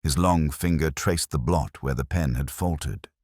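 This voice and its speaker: A man narrating a period detective story as a scripted audiobook, in a formal, measured storytelling manner. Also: extremely low pitch, darkish tone, husky, dry recording, clean studio sound.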